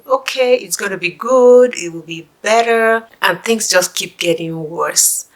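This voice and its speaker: Nigerian woman with a low voice. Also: a bit bright, rough recording. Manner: chatty, musing, with asides and restarts. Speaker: vlogger for immigrants. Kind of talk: casual chat to camera.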